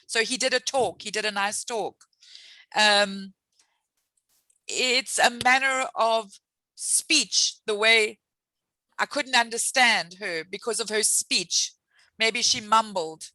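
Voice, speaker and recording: A woman leads an online English class in a bright, clear voice. She talks at an unhurried pace and patiently walks through word meanings with examples. The sound is dry and close. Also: a little high, dry recording, low-quality recording. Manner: patient, encouraging, explains words with examples. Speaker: English teacher. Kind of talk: online English lesson with students.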